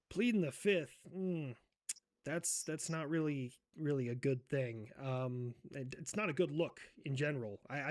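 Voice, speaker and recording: Man for comic roleplay banter: a sarcastic, profane ranter in a put-on accent, pitched a bit high. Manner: putting on an accent.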